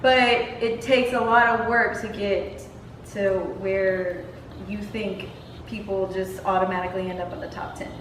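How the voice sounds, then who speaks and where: A woman's voice: mid-range voice, steady tone. Woman host in an echoey room. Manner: chatty, quick to laugh along. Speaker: podcast host. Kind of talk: casual interview chat. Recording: roomy, distant mic, clear background noise.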